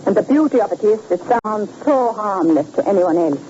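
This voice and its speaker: A man's voice: sits high, lively intonation, a little fast. An actor playing a nervous, puzzled male accomplice in a 1940s radio crime drama, talking in an anxious, baffled way. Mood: uneasy. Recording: muffled hissy old radio broadcast.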